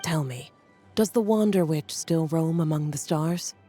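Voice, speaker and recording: A woman playing a solemn, reflective mature witch in a fantasy video game, speaking in a measured, grave manner. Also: deep voice, warm tone, faint music behind. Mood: wistful, solemn.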